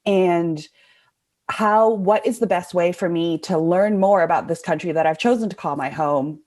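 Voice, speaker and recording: A woman's voice: lowish voice, normal speed, a little mellow. A young woman from Hong Kong chatting casually and effusively as an interview guest, full of enthusiasm. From Hong Kong, also raised in Indonesia.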